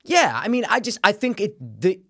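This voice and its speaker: A man's voice: sits high, sing-song, normal speed, fairly bright tone. A man co-hosting a casual podcast, ranting emphatically and profanely about a TV show. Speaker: podcast co-host. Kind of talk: casual chat, TV review.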